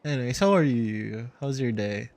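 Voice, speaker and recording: A man's voice: medium pitch, moderate pace, darkish tone, no room sound. A man livestreaming a game, reacting casually and chatting in slangy exclamations. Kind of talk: casual live reaction chat.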